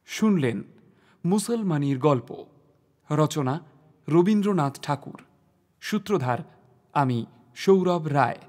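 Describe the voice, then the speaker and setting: A man's voice: a little high, expressive, slow pace, pauses often, darkish tone. A man reading scripted credits as the presenter of an audio story. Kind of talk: measured scripted credits announcement. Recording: long hall-like reverb.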